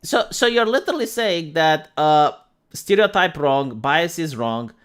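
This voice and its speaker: Animated sarcastic streamer man. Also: a little high, measured pace, clean tone. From Moldova. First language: Romanian. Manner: animated and sarcastic, repeats words when starting. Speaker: live streamer. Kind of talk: casual reaction commentary.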